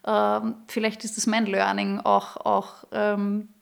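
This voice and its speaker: A woman's voice: mid-range voice, monotone, takes it slowly, vocal fry, clear, resonant tone. A woman in her thirties with an Austrian accent, a singer-songwriter talking as a podcast guest, candid with fillers and restarts. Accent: Austrian German. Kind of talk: casual podcast interview.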